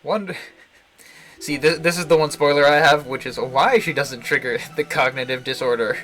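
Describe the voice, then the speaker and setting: A man giving casual, halting live commentary on a visual novel, chuckling to himself as he rambles. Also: fairly high pitch, a bit bright, low-quality recording. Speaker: game streamer, host. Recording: game music behind the voice. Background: video game music.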